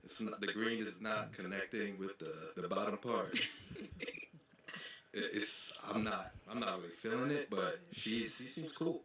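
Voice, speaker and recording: Man in his thirties, bright echoey voice. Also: on the low side, measured pace, a little hiss or hum. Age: 30s. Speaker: contestant on dating show. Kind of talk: casual chat. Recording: echoey room.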